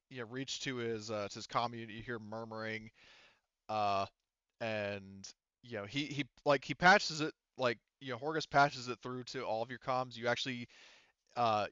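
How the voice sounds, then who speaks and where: A man running a tabletop roleplaying game, narrating casually and haltingly, often breaking off and restarting. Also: mid-range voice, sharp tone, smooth, dry recording, highs cut off. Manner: halting, frequent self-interruption. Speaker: game master.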